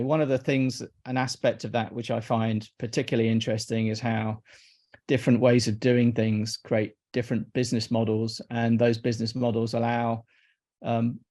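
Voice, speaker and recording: A man's voice: medium pitch, a little mellow. A man in his fifties from Iraq, a guest in a casual interview, who talks hesitantly, often repeating words and restarting sentences. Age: 50s.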